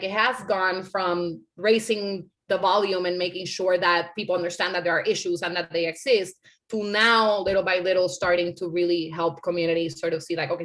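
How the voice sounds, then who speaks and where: A woman's voice: lowish voice, steady tone, fairly quick, fairly bright tone. A woman from Puerto Rico answering questions on a panel, earnest and conversational, often adding "like" and "right?". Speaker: panel speaker.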